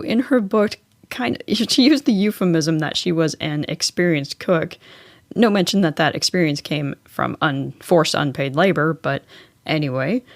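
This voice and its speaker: A woman's voice: lowish voice, dry recording, studio quality. An American woman hosting a solo podcast, narrating in a chatty, scripted style with wry, informal asides. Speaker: podcast host.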